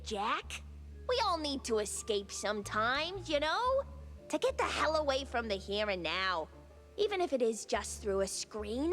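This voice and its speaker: An actress playing a sassy, quick-witted young mouse tinkerer in a cartoon game, teasing and expressive. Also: female voice, high-pitched, exaggerated voice. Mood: earnest, wistful.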